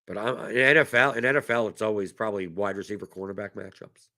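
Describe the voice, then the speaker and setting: A man with a bright, forceful voice hosts a casual fantasy sports livestream and answers questions from chat. His voice is going hoarse from a cough. He rambles and repeats words as he restarts his sentences. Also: fairly low pitch, fast. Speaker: fantasy sports strategy show host.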